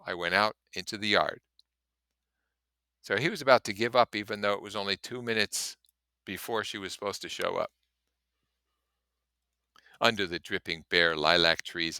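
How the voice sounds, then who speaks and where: A man's voice: low voice, pushed and bright, smooth, no echo. A man reading a story aloud in an audiobook style, with casual commentary of his own. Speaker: reader and commentator.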